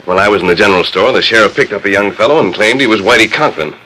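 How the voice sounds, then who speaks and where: A man's voice: fairly low pitch, pushed and bright, a little hiss or hum, low-quality recording. A man playing an upright, authoritative American Western hero, speaking firmly in 1940s radio drama style. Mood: commanding, earnest. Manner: firm, authoritative, deliberate.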